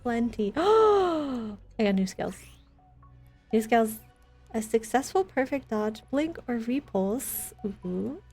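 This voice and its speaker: A woman's voice: a little high, big pitch swings, takes it slowly, darkish tone, husky, faint music behind. A woman chatting casually as she streams a video game, with playful, teasing banter. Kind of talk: casual livestream gaming chat.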